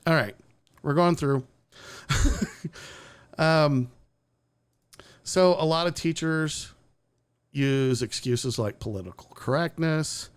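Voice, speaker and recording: A man's voice: mid-range voice, clear, resonant tone. A man hosting a live-streamed talk show, talking casually and bluntly with sarcastic asides and swearing. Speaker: podcast host. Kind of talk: casual opinionated chat.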